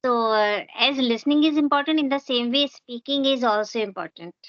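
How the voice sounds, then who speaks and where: A woman's voice: high voice, a little fast, dry recording, lo-fi sound. A woman with an Indian accent, an English teacher, answering questions earnestly with frequent hesitations over a video call. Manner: hesitant, many fillers, earnest. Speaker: English teacher and channel host. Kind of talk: conversational practice interview.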